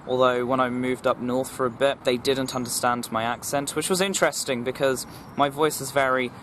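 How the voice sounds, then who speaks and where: A young man from England, talking earnestly to camera in a personal vlog. He speaks in a formal, measured way and often repeats himself and restarts sentences. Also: mid-range voice, a little hiss or hum. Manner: formal, even delivery. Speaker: vlogger.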